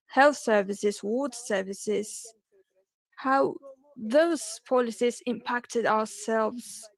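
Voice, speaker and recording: A woman interpreting a panel discussion live, her voice set a little higher than most. She moves slowly and haltingly, pausing often and correcting herself mid-phrase. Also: rough recording. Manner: halting, with pauses and self-corrections. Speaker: simultaneous interpreter.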